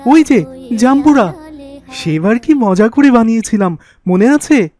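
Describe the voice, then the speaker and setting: A woman's voice: fairly high pitch, lively intonation, measured pace, mellow. A woman voicing a curious, playful youngster in an Islamic cartoon, with an inquisitive and earnest manner. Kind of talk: scripted Islamic cartoon dialogue.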